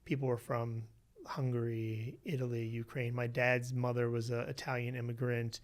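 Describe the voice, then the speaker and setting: Relaxed man telling his story. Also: medium pitch, normal speed. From Western Pennsylvania, USA. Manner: relaxed storytelling with frequent "you know" fillers. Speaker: guest, researcher. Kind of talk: conversational interview.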